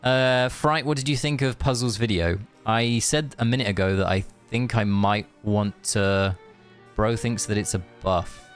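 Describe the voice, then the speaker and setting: A man's voice: fairly low pitch, fairly even intonation, a little slow. A man chatting casually on a game stream, rambling with joking asides and reading bits of story dialogue. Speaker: live streamer. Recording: music playing behind the voice. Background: game music.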